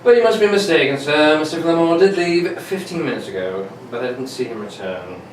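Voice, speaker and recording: A man's voice: fairly high pitch, expressive, brisk, lightly acted. A man playing a formal, polite, unflappable manservant in an amateur 1940s-style radio play. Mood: deferential. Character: very tall, deferential, unflappable manservant. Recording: echoey room, distant mic, faint noise.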